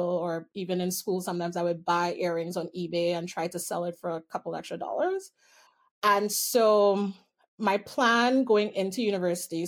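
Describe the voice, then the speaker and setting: Woman with a Trinidadian accent. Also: on the low side. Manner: candid, amused, stretches key words. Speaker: guest. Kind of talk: personal storytelling in an interview.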